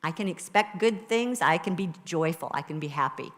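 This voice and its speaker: A woman minister with a low voice, preaching a sermon and leading a guided meditation in a conversational, encouraging way to a live congregation. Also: expressive, moderate pace. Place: church sanctuary with a live congregation. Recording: live church recording with long reverb.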